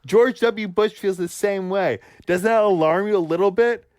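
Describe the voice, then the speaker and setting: A man co-hosting a sports talk podcast who argues heatedly and swears constantly, ranting at his brother with forceful conviction. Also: high voice, moderate pace, no echo, clean studio sound. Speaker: podcast co-host.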